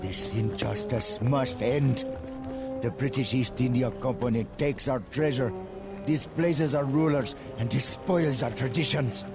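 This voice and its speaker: Loud music surges behind a fervent Indian rebel. He speaks at a medium pitch with solemn, impassioned indignation. The delivery is declamatory, as befits a dramatic game cutscene. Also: a little slow, vocal fry. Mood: indignant. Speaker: game character.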